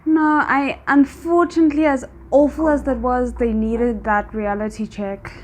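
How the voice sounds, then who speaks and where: A woman's voice: sits high, steady tone, a little slow, a little hiss or hum. A woman giving casual spoken reaction commentary as a host, squeamish and dryly sarcastic. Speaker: host of a reaction video channel.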